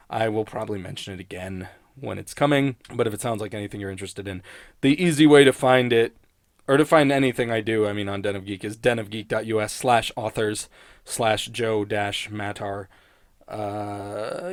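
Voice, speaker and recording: A man's voice: mid-range voice, no echo, studio quality. A man hosting a casual, rambling solo commentary in a hesitant, self-deprecating and sometimes sweary way. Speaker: YouTube host and TV critic.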